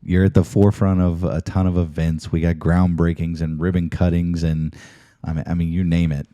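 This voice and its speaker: A man's voice: sits low, flat delivery, moderate pace, mellow, no echo. A man hosting a talk show, chatting casually with his guest in an interview.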